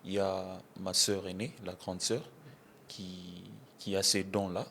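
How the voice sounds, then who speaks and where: A young man from Kinshasa, a gospel artist answering interview questions in a measured way, often saying voilà. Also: deep voice, level tone, fairly bright tone, slight background noise. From Kinshasa, DR Congo. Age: young adult.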